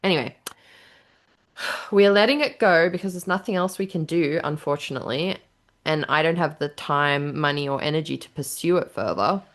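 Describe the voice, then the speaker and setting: A woman co-hosting a podcast in casual chat, candid and giggly, with a low voice and casual swearing. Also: moderate pace, a bit bright. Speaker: podcast co-host.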